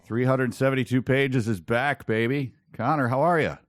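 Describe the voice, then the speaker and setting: A man's voice: medium pitch, steady tone, moderate pace, faint music behind. A man co-hosting a podcast in casual, bantering chat, with playfully mock-formal wording. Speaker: podcast co-host.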